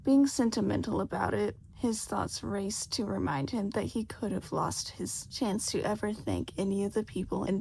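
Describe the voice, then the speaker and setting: A woman's voice: medium pitch, darkish tone, a little hiss or hum, low-quality recording. A woman reading a story aloud as scripted narration in an amateur audiobook style.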